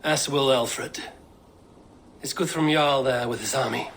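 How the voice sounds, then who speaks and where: An actor playing a steady, resolute male viking clan leader in a video game, measured in his speech. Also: medium pitch, a little hiss or hum, natural voice. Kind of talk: video game cutscene acting.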